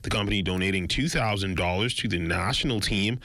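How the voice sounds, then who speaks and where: A man reads sports headlines in a steady, announcer-style cadence. His voice sits fairly low yet has a bright, forward tone. It is a scripted news read. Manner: measured, announcer-like delivery. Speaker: sports anchor.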